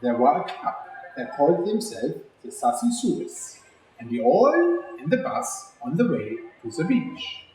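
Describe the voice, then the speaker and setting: A man's voice: mid-range voice, very animated, unhurried, darkish tone. A man reading a children's story aloud, speaking directly and expressively to young listeners. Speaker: executive chef reading a children's story for a charity. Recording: very echoey room, faint background noise.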